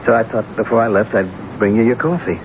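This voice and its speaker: An actor playing a mild, dutiful middle-aged husband, polite and a little hesitant, in a radio drama. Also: male voice, fairly low pitch, quick pace, close to an everyday voice. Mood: solicitous. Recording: noisy, dull old radio broadcast.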